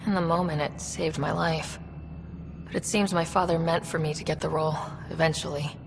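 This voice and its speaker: An actress playing a young space explorer in a video game, speaking in a casual, direct, inquisitive way. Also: female voice, low-pitched, fairly even intonation, fairly quick, creaky, music in the background. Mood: subdued. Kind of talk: video game dialogue acting.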